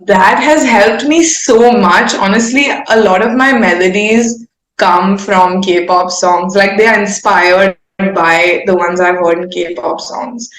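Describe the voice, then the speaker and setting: A woman's voice: medium pitch, slow, fairly bright tone. A woman, talking candidly and effusively in a casual, informal interview style. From India. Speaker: singer-songwriter guest. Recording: roomy, echoing sound.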